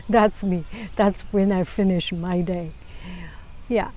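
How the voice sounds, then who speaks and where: A woman with a Chinese accent and a low, soft voice giving calm, casual advice to camera, often restarting phrases and sometimes dropping to a whisper. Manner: calm, unhurried, many restarts. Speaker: vlogger.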